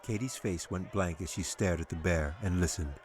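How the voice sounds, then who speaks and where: A man reads a fantasy audiobook in a low-pitched voice. His delivery is measured and dramatic, in the manner of scripted storytelling. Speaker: author reading own audiobook.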